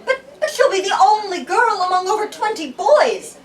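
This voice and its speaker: Woman playing a high-pitched, fiery lady knight. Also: sing-song, normal speed, far from the mic. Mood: indignant, pleading. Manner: indignant, sputtering, emphatic. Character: fiery, impulsive female knight, the King's Champion.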